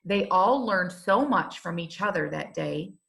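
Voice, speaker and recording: A woman reading a rhyming children's storybook aloud, in a measured and expressive teacher's manner. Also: on the low side, creaky.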